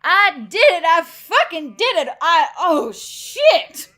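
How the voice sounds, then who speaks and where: A woman voicing a small, excitable, panicky young woman paratrooper in an audio story, shrieking and swearing in exclamations. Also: extremely high pitch, slow, pitched above the performer's own voice, full character voice. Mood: triumphant howling.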